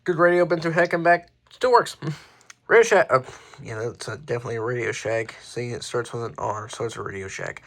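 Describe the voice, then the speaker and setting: A man presenting his hobby collection in a casual, unscripted way, halting and often correcting himself as he goes. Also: medium pitch, gravelly, a bit bright. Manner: halting, offhand, self-correcting. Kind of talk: casual unscripted show-and-tell.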